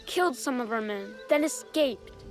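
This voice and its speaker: A frightened young child soldier voiced by a woman with a high voice, guilt-ridden and pleading in halting, stammering phrases. It is game cutscene drama with faint music behind. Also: expressive, lightly acted. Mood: subdued, confessional.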